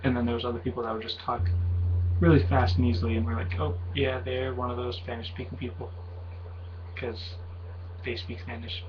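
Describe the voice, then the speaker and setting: A man's voice: mid-range voice, level tone, fairly quick. A young man of Mexican heritage talking in a rambling, hesitant way in a casual vlog, with many fillers and self-corrections. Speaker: video blogger. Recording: slightly dull audio with faint background hiss.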